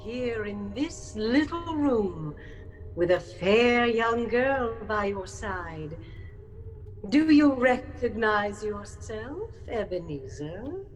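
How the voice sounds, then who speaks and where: Very slow, solemn ghostly spirit. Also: female voice, on the high side, sing-song. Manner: solemn, measured, portentous. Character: solemn ghostly spirit, stern and unhurried guide. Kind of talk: theatrical audio drama acting. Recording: long cavernous reverb, faint noise.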